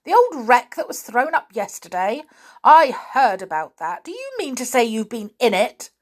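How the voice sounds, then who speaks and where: A woman's voice: on the low side, lively intonation, normal speed, fairly bright tone, slightly rough, heavily acted. A woman voicing an irascible middle-aged uncle in a story, barking indignant questions and scornful remarks. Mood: startled.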